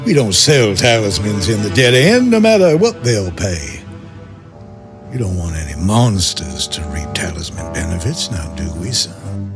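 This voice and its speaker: An actor playing a menacing, sly, mock-polite mobster in a pulp crime audio drama. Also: male voice, on the low side, sing-song, unhurried. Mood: sly menace. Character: menacing male mobster in a monster-filled crime city. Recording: music behind the dialogue.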